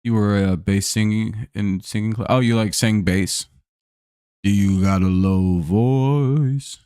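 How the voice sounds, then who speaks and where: A man's voice: lowish voice, slow pace, warm tone, clean tone, no room sound, clean studio sound. A man hosting a live stream, calling baseball plays casually and joking with viewers, his voice a bit worn from talking all day. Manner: laid-back, jokey, chats with viewers between plays. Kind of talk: casual play-by-play and chat.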